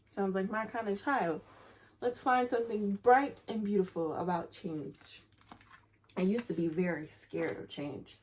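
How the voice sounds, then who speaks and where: A woman hosts a read-aloud for young children. She takes her time, with an unhurried pace and a middle-range voice. Her manner is chatty and playful, speaking to her listeners as friends. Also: medium pitch.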